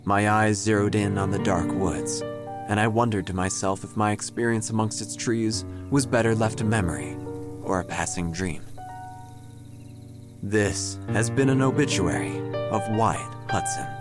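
A man playing a wry, melancholy small-town obituary writer, narrating an audio drama in measured, formal phrasing. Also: fairly low pitch, over loud music, lightly acted. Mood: solemn, wistful.

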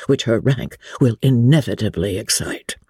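A pompous, self-satisfied clergyman played by a woman holds forth in a classic novel reading. The delivery is husky and mellow, formal and ponderous, with grand rises and falls of pitch. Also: animated, normal speed, no room sound, studio quality, heavily acted. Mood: self-important. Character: pompous, self-satisfied clergyman suitor, adult man, long-winded.

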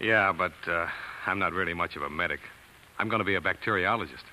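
A man's voice: sits low, quick pace, bright, forceful tone, slight background noise, low-quality recording. An actor playing an earnest young male science student and narrator in a 1940s radio drama, sometimes hesitant. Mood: awkward, eager. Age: young adult. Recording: vintage radio with musical underscore.

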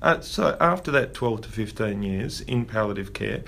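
Gravelly-voiced man hosting. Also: lowish voice, expressive, moderate pace, a bit bright, a little hiss or hum. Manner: friendly, encouraging interviewer. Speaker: podcast host, doctor. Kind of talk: podcast interview.

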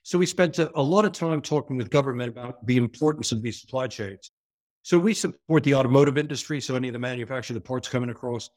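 A man's voice: medium pitch, fast talker. A man with a New York area American accent, answering interview questions in a fluent, explanatory, businesslike way. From New York area, USA. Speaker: port executive.

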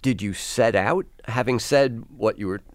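A man's voice: mid-range voice, unhurried, no room sound. A man hosting a conversational music interview, putting observations and questions to a guest. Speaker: interviewer, music show host.

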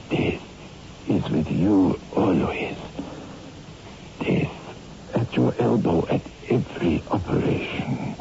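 A man acting a jealous, grave palm reader in a 1960s radio horror drama, speaking solemnly with dramatic pauses. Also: sits low, vocal fry, dark tone, a little hiss or hum, exaggerated voice. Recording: muffled old radio recording with music.

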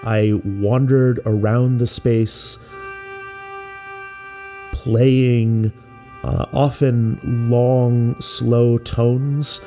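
A man who works as a musician explains experimental music in a measured, thoughtful way with pauses. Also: medium pitch, fairly even intonation, warm tone. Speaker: musician and composer. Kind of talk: explanatory talk about making experimental music.

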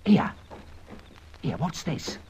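An actor playing a down-to-earth, watchful working-class sidekick in an old-time radio serial, colloquial and ungrammatical. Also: male voice, takes it slowly, a little mellow, natural voice. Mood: puzzled, interested. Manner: colloquial, ungrammatical, plain-spoken. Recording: muffled, noisy old radio recording.

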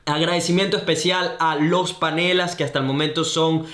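A young Venezuelan man with a Venezuelan accent, hosting a podcast in an energetic, enthusiastic, slangy way. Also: on the high side, moderate pace. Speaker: podcast host. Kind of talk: upbeat podcast intro and interview. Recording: roomy echo.

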